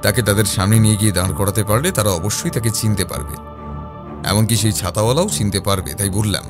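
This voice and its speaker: A man playing a composed detective who recounts his investigation in a measured, explanatory first-person narration. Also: lowish voice, close to an everyday voice. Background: background music.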